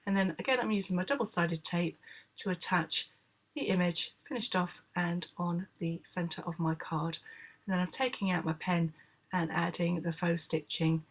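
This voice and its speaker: A woman, hoarse from a cold, calmly explaining a craft project step by step in a tutorial voice-over, with frequent filler sounds. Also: fairly low pitch, moderate pace.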